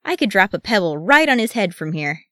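A woman voicing a small, excitable, defiant dwarf boy in a fantasy story, with childish boasting and grumbling. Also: medium pitch, a little fast, no echo, clean studio sound, voice pushed up. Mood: excited.

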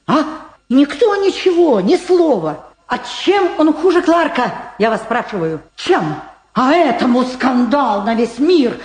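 A woman's voice: high-pitched, sing-song, a little slow, a little mellow. A nervous, wheedling middle-aged woman from a radio play. She rambles and stammers, her intonation swinging widely as she talks. The voice sits in a roomy, echoing recording. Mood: plaintive, indignant. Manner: hesitant, rambling, stammering under pressure. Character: nervous, talkative woman witness, evasive and wheedling. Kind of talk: radio play dramatic acting.

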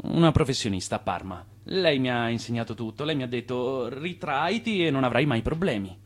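An actor in a radio drama playing a self-assured, persuasive Italian immigrant financier and swindler with a showy manner. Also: male voice, mid-range voice, animated, fairly quick, close to an everyday voice. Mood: hushed, intimate.

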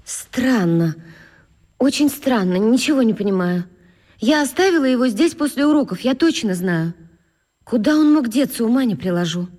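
Flustered, high-voiced schoolteacher. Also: female voice, moderate pace, big-room sound. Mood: puzzled, distracted. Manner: agitated, expressive. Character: flustered, worried, well-meaning schoolteacher woman. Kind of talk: children's radio play acting.

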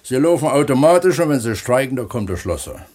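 An actor playing a down-to-earth, contented mine pump attendant, unhurried and colloquial, in a radio play. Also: male voice, mid-range voice, big pitch swings, darkish tone. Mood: matter-of-fact. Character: plain-spoken, contented male mine pump attendant who writes. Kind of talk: 1970s East German radio play acting.

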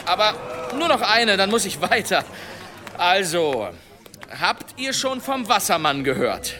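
An actor in a radio play voicing a wandering teller of demon tales, theatrical and suspenseful with dramatic pauses. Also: male voice, high voice, very animated, music in the background. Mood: showy, teasing. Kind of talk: radio play acting, tavern storytelling.

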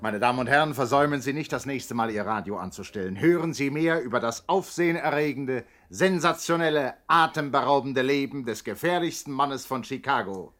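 An actor as the narrator of a 1960s German radio play, suspenseful and grandly mock-sensational. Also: male voice, mid-range voice, brisk, few pauses, a bit bright, lightly acted.

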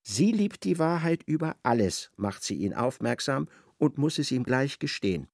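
A male radio play narrator with a very animated, theatrical delivery. His voice has a creaky grain and sits in a dry, close recording as he relays what people said. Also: mid-range voice, moderate pace, no room sound. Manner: theatrical, retells dialogue in indirect speech.

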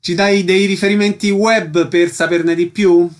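A man's voice: high-pitched, little pitch movement, a little slow. A man hosting a radio interview, presenting guests and events and asking short questions.

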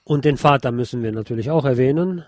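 A man narrates a scripted film review in a mellow voice of medium pitch. The recording is dry and close, with no room sound. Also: fast, dry recording.

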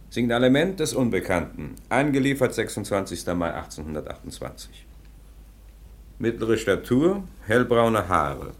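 A low-voiced, stern official in a radio drama. He is curt and commanding, and his pitch swings widely as he questions and dictates with impatient authority. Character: stern, impatient male police official interrogating a foundling. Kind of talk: German radio play acting.

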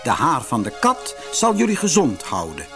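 A man's voice: medium pitch, huge pitch swings, normal speed, vocal fry, over music. A man voicing a kindly, earnest good fairy in a storybook, solemn and reassuring. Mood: kind, encouraging. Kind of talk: fairy-tale storybook reading.

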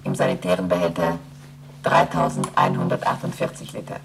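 A woman's voice: extremely low pitch, very animated, fairly quick, vocal fry, background noise, exaggerated voice. A woman playing an emotionless lunar station computer in a radio play, reciting formal status reports with precise diction. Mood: flat, procedural.

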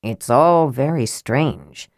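A woman's voice: sits low, expressive, unhurried, a little mellow, no room sound, studio quality, pitched below the performer's own voice. A woman voicing a stern, earnest male knight in an audiobook, terse and formal. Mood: serious. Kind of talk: audiobook character acting.

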